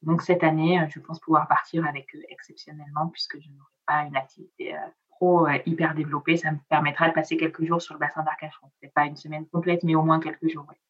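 A middle-aged woman from southern France, whose first language is Italian, answers interview questions in a loose, chatty way. Her voice sits a little low and she fills pauses with hesitations. The recording sounds slightly muffled. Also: little pitch movement. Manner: chatty, frequent hesitations, trails off softly. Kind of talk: casual interview chat.

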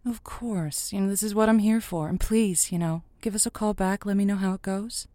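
An actress playing an earnest romance advice host on a call-in radio show, reassuring and emphatic, drawing out key words. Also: female voice, medium pitch, fairly even intonation, normal speed, mellow, no echo, natural voice. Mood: earnest, encouraging. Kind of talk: semi-improvised audio comedy as radio advice show.

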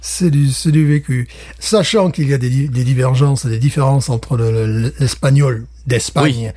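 A man, a teacher and guest on a podcast, chatting casually, animated, with many restarts and acted-out little scenes. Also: mid-range voice, measured pace, husky. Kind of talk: casual chat.

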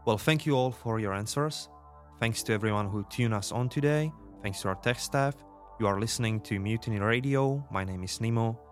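A man acts as a courteous radio host in a fiction podcast. His voice sits a little low and he speaks in a measured, polite way, with faint music behind him. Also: lightly acted. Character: Nemo, courteous radio host in dystopian Paris.

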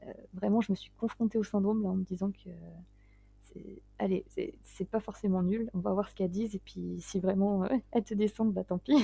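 A woman's voice: mid-range voice, steady tone, a little fast, creaky, mellow, husky. A woman in her late twenties, a guest writer talking casually in an interview, hesitant and self-deprecating, often breaking into laughs. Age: 20s.

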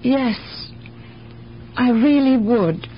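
An actress playing a kindly, wistful older aunt in a radio drama, speaking earnestly and haltingly with compassion. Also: female voice, fairly high pitch. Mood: compassionate. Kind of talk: 1970s radio drama acting. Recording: muffled, noisy, humming old radio recording.

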